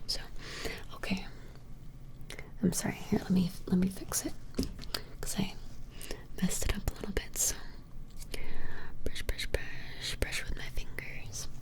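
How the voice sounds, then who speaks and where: A woman whispers slowly in a soft, mellow voice for an ASMR role-play. She plays an attentive, reassuring shopkeeper, speaking close to the mic in an even, hesitant murmur. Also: medium pitch, poor audio. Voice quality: breathy whisper. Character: attentive vampire shopkeeper measuring a creature customer. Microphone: close-up microphone.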